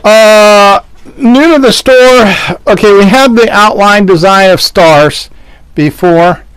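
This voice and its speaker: A man's voice: sits high, takes it slowly, a bit bright, smooth, no room sound, poor audio. A man from Western Pennsylvania co-hosting a casual fabric show, describing prints and prices with wry jokes. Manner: joking, wry asides. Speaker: fabric shop co-host. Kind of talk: casual live product showcase.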